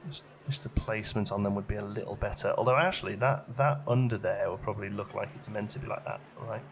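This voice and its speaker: A man's voice: on the low side, fairly even intonation, fast talker, background noise. A man giving casual gameplay commentary, chatting and musing aloud as he questions his own choices, as a let's play host.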